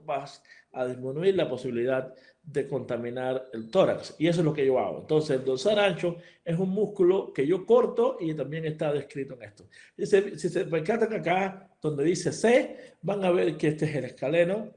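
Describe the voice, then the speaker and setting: A man's voice: on the high side, darkish tone. A middle-aged man from Cuba giving a live lecture to fellow vets. He explains step by step, restarts his sentences often and drops English terms into his talk. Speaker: veterinary surgeon lecturer.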